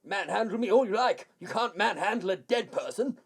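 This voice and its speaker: A man's voice: high voice, lively intonation, a little fast, a crowd in the background. A man playing a frantic, hysterical man declared dead who insists he is alive, in a theatrical audio play. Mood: manic defiance. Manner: hysterical, shouting protests.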